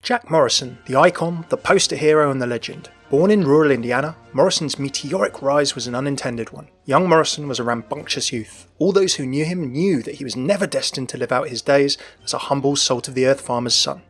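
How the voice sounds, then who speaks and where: A man's voice: medium pitch, brisk, hardly any pauses, breathy edge, soft background music. A man narrating a scripted video about the lore and backstory of a game character.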